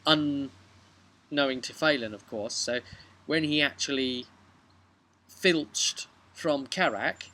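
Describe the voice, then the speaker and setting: Bright-voiced young British man, casual storyteller. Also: medium pitch, slow pace, long pauses. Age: young adult. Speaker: game master recapping a tabletop role-play session. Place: outdoors on a bench beside a brick house. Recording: noisy outdoor recording with traffic ambience.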